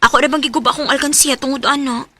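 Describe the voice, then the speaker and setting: A woman's voice: high-pitched, steady tone, very fast, pushed and bright. An actress with a Cebuano Filipino accent playing a kind, coaxing young female student in a radio drama. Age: young adult.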